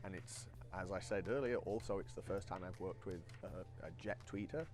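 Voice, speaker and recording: Low-voiced man explaining technical design. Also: normal speed, darkish tone. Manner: explanatory, poses rhetorical questions then answers them. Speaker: loudspeaker engineer and designer. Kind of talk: informal technical product explanation.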